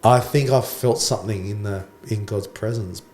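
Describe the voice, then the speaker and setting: Male worship pastor, hoarse and croaky, casual podcast guest, lowish voice, echoey recording. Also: moderate pace. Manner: relaxed, chatty, says mate and bro. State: hoarse, lost his voice. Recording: echoey room, faint background noise.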